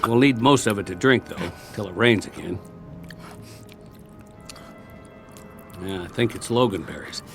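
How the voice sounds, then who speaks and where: An actor playing a weary, wry American ex-soldier, plain-spoken and coaxing, in a radio drama. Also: male voice, fairly low pitch, a little fast, creaky, fairly bright tone, soft background music. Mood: coaxing, gentle. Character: weary, wry male ex-soldier surviving after war.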